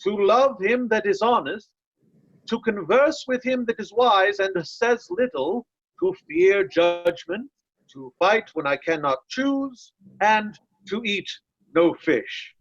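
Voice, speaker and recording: A man's voice: sits high, lively intonation, slow pace, a bit bright, no echo, exaggerated voice. A man playing a loyal, blunt nobleman disguised as a servant in readers' theatre Shakespeare, earnest and deferential, on a lo-fi recording. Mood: plain, earnest. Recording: dull, lo-fi, dry sound.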